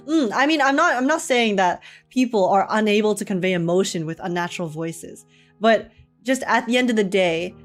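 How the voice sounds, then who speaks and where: Chatty woman streamer over game music. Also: medium pitch. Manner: chatty, giggly. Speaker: live streamer. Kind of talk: casual gaming stream commentary.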